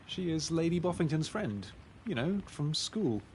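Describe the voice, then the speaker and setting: A man playing a polite, slightly hesitant gentleman private investigator pig in a video game, speaking formally. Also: on the high side, big pitch swings, unhurried, slight background noise, poor audio. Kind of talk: scripted game character dialogue.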